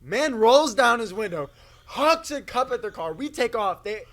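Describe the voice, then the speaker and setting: Bright-voiced young American man telling stories. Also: very high voice, animated, moderate pace. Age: young adult. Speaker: podcast co-host. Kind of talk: casual anecdote telling among friends. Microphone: stick microphone.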